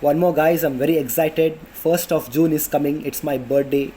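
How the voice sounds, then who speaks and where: A man's voice: a little high, fairly even intonation, moderate pace, warm tone, a little hiss or hum, rough recording. A man in his twenties with an Indian accent, chatting casually about health tips in a vlog, full of fillers and restarts. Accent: Indian English. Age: 20s. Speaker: vlogger. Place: apartment in a city under flight paths. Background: planes passing overhead.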